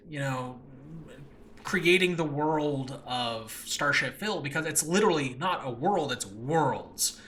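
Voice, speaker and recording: A man's voice: mid-range voice, sharp tone. A man hosting a casual solo podcast, talking enthusiastically and off the cuff, often rambling and interrupting himself. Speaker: podcast host, writer and voice actor of audio dramas. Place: room in a new home, no regular recording space. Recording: faint background noise, makeshift home recording space.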